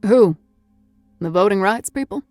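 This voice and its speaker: A woman's voice: mid-range voice, lively intonation, measured pace, darkish tone, dry recording, soft background music, natural voice. Woman as a wry American federal agent. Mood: wry, teasing. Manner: dry, laconic. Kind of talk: audiobook character voice.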